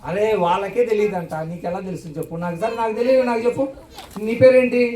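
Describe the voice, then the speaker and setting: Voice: mid-range voice, little pitch movement, fast, warm tone. A puppet show host bantering live with puppets and children, teasing, questioning and repeating phrases. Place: church hall with children audience. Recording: distant, echoey hall, crowd noise.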